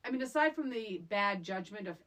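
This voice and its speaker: A woman's voice: medium pitch, animated, brisk, a bit bright. A woman co-hosting a podcast, casually discussing a film in an emphatic, exasperated way, repeating points for effect. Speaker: podcast co-host.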